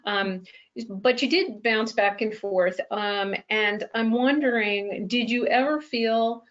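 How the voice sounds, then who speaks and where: A woman's voice: on the high side, slow, muffled recording. A woman hosting a webinar interview, asking long questions with many ums. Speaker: webinar host. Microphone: video call.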